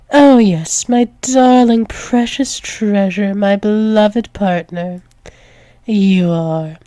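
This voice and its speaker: Woman acting a slow, mellow, possessive werewolf lover. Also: medium pitch, dry recording. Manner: teasing, coaxing, slow repeated praise, often dropping to whispers. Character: dominant, possessive, doting female werewolf demon lover. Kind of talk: intimate ASMR roleplay.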